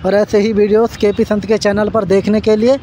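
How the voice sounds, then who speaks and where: A man's voice: high voice, level tone, brisk, background noise. A man casually vlogging outdoors beside a busy road, pointing things out and talking straight to his viewers on a handheld phone. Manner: repetitive, addresses viewers as friends, points things out. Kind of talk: casual walking construction-site vlog.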